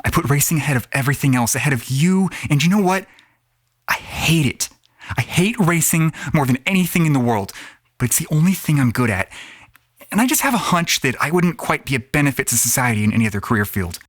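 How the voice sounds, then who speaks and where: A man's voice: on the high side, expressive, a little fast, no echo, clean studio sound. A man playing a jaded antigravity racing pilot, telling his story in a blunt, colloquial, world-weary way. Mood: pleading. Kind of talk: first-person audio drama narration and acting. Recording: voice over music. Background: music.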